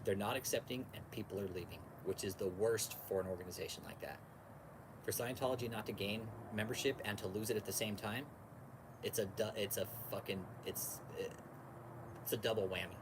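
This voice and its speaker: A man's voice: on the low side, noisy background. An American man hosting a casual livestream, chatting with viewers in slangy, repetitive bro-talk with plenty of swearing. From California, United States. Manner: laid-back slangy bro-talk, repeats phrases, swears freely. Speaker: podcast and livestream host.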